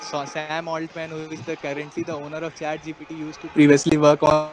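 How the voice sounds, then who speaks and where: A man's voice: completely flat delivery, a little fast. A young man with an Indian accent talking conversationally in a casual group discussion. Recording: noisy room, traffic rumble.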